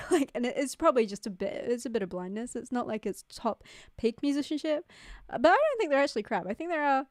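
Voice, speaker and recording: A woman's voice: high-pitched, expressive, quick pace, dry recording, studio quality. A young woman from New Zealand with a New Zealand accent, chatting casually with her viewers on a livestream, full of "like" and "um". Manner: chatty, many "like" and "um" fillers, laughs easily. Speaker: streamer. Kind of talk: casual livestream chat reading viewer messages. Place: home streaming setup in Queensland. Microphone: studio mic close up.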